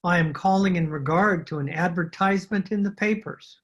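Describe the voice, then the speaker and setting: A woman playing a nervous, evasive man in a radio detective drama, husky, hesitant and formally polite. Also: lowish voice, moderate pace, dull audio, lightly acted. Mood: cautious, polite. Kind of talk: old-time radio detective acting. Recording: home recording over video call.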